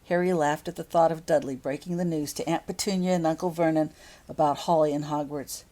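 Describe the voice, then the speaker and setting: A woman narrating fiction as an author reading aloud, in an expressive storybook style. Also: sits low, a little fast.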